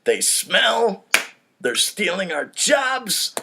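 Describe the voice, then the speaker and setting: A man's voice: high-pitched, big pitch swings, drawn-out words, gravelly, background noise, voice pushed up, exaggerated voice. A man voicing a bigoted, falsely cheerful banker in a comedy script, with a theatrical salesman's delivery. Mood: scornful ranting.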